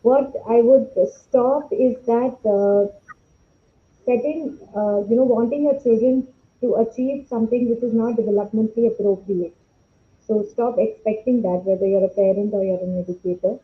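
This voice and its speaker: A woman's voice: a little high, moderate pace. A woman with an Indian accent, a teacher on a panel, explaining her views earnestly on slightly lo-fi audio. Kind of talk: unscripted panel discussion.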